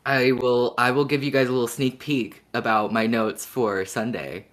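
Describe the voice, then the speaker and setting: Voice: mid-range voice, fairly even intonation, moderate pace. A podcast co-host chatting casually in a giggly, good-humoured way. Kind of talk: casual chat.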